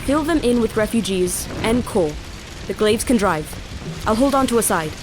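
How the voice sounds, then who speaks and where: Casting: woman for sharp-tongued young royal, defiant and proud, slightly high pitch, audio drama. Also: animated, moderate pace, background noise, close to an everyday voice. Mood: determined. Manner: blunt, sarcastic.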